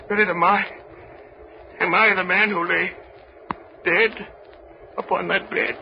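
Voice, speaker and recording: A terrified old miser pleads in a 1930s radio drama. His voice is strained high and crackles with creak, and he drags his words slowly. The recording is muffled and old. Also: fairly even intonation, full character voice. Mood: terrified, pleading.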